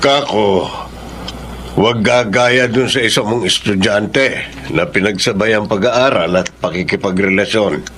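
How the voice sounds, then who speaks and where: A man's voice: on the low side, a little slow, a bit bright, slight background noise. A man playing a plain, burdened middle-aged husband and father in a Filipino radio drama. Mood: matter-of-fact.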